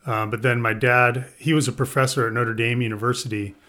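A man who grew up in the Midwest, a winemaker talking as a podcast guest in a relaxed, rambling way. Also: mid-range voice, a little fast. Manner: relaxed, rambling, with fillers and laughs. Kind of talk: casual interview chat.

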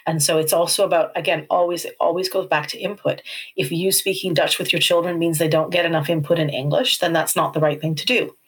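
Woman expert guest, explanatory, measured, thinks aloud. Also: low-pitched, steady tone, breathy edge. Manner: measured, explaining points step by step with restarts. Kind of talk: explanatory talk.

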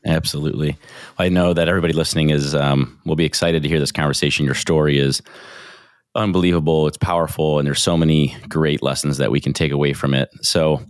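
Very deep-voiced earnest podcast host man. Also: fairly even intonation, quick pace, smooth, no echo, clean studio sound. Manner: earnest, with frequent fillers and restarts. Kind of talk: faith podcast intro and interview.

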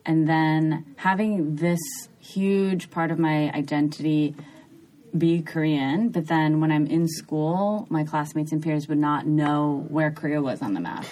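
A woman in her thirties from New York talks about her writing as a podcast guest. Her voice sits low. She speaks thoughtfully and often restarts her sentences. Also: unhurried, a little mellow, low-quality recording. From New York, USA. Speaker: author guest. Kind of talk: podcast interview.

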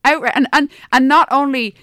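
An Irish woman co-hosting a podcast, talking casually and emphatically, often halting and repeating words as she argues her point. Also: high-pitched, fairly even intonation, bright, forceful tone, no room sound, studio quality. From Ireland. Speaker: podcast co-host. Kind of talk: casual opinionated discussion.

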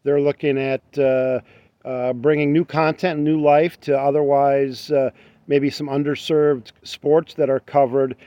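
A man's voice: medium pitch, little pitch movement, no room sound. Guy hosting an interview, lots of uhs, dry dull audio. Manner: halting questions with many fillers. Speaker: interviewer host. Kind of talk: interview questions.

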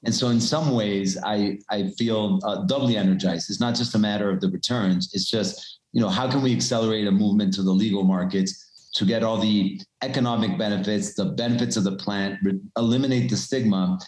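A man from Colombia giving measured, businesslike answers as an interview guest. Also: on the low side, fairly even intonation, darkish tone. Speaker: guest, cannabis investor. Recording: roomy echo.

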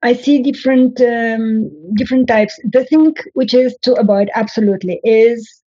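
A woman, a writing coach giving measured, instructive advice in an interview. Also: fairly high pitch, no echo, dull audio. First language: French. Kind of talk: interview answers, advising.

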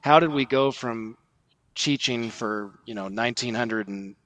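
A man's voice: medium pitch, a little slow, fairly bright tone. A man speaking as a podcast guest, giving conversational religious commentary in an earnest, explanatory way.

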